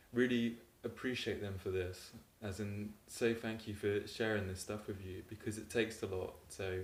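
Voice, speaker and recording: A man calmly explaining relationship advice in a steady way, with many fillers. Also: fairly low pitch, echoey room. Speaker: relationship coach. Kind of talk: advice talk to camera.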